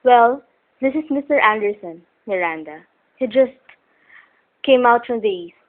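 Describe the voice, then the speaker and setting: A woman's voice: on the high side, expressive, unhurried. An actress playing an anxious, protective young wife and mother in radio melodrama, earnest and pleading, defiant when pushed. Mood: uneasy, polite. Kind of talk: old-time radio melodrama acting. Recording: tinny vintage radio recording, background noise.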